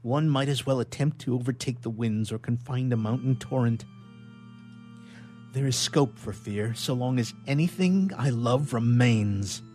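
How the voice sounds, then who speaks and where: A man's voice: medium pitch, unhurried, darkish tone, faint music behind, natural voice. A man playing a haunted, guilt-ridden young scientist in an old melodramatic radio play, with declamatory archaic diction. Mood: remorseful.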